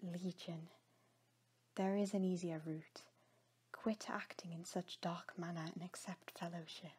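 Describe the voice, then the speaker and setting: Woman acting gentle young woman, husky, soft, earnest, forgiving, audio story peacemaker. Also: lowish voice, fairly even intonation, a little slow. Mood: gentle pleading.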